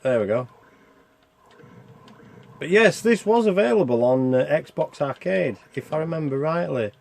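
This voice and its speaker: Man with a creaky voice, casual game commentary. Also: fairly high pitch, expressive, a little slow, a little mellow. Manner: chatty, thinking aloud with self-questions. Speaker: video game reviewer.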